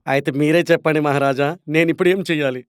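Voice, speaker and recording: A man voicing an anxious, respectful monkey messenger in an animated story. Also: fairly high pitch, fast, clean tone, no echo, clean studio sound, close to an everyday voice. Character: monkey messenger, anxious, deferential, loyal informant.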